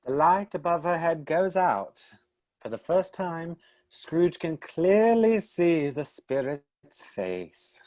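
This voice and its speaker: Unhurried radio play narrator. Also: medium pitch. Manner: unhurried, even storytelling. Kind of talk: scripted stage-direction narration.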